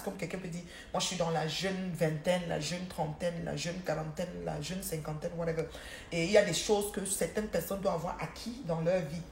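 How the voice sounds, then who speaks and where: A woman's voice: mid-range voice, a little hiss or hum. A woman from Cameroon, hosting a chatty live-stream monologue. She talks emphatically, repeats herself and often restarts her sentences. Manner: emphatic, repetitive, with frequent restarts. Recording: roomy echo.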